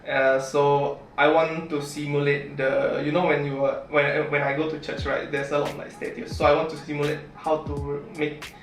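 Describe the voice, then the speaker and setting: A man's voice: a little high, level tone, normal speed, few pauses. A man from Indonesia with an Indonesian accent, chatting casually as an interview guest in a chatty, easily amused manner. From Palembang, Indonesia. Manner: chatty, often chuckling as he talks. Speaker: interview guest, origami artist. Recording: roomy echo, distant mic, faint music.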